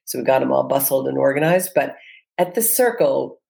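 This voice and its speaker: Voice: medium pitch, lively intonation, fairly quick, creaky, darkish tone. Earnest entrepreneur full of restarts. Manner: earnest, with many restarts and fillers. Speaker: entrepreneur guest. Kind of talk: reflective interview answers.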